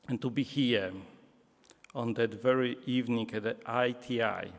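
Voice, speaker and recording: A man with a Polish accent giving a public lecture, speaking deliberately and slipping in dry jokes. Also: medium pitch, fairly even intonation, vocal fry. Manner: slow, deliberate, joking asides. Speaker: guest lecturer. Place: lecture hall. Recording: reverberant lecture hall.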